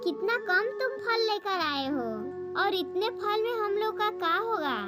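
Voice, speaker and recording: Woman voicing a very squeaky little cartoon bird. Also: a little slow, loud music behind the voice. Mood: scolding. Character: small female bird, chatty, plain-spoken. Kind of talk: Hindi cartoon moral story acting.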